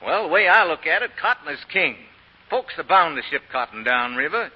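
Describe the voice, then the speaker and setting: An actor playing a courtly, hot-headed young Southern gentleman with a folksy American Southern drawl, in 1940s radio drama style. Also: on the high side, sing-song, brisk, breathy edge. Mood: confident. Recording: muffled, reverberant old radio broadcast.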